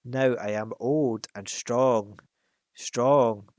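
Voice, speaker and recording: A man voicing a huge, boastful, wicked old male dragon in a storybook reading, with a slow, gloating, menacing delivery. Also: medium pitch, steady tone, a little mellow, no room sound, clean studio sound.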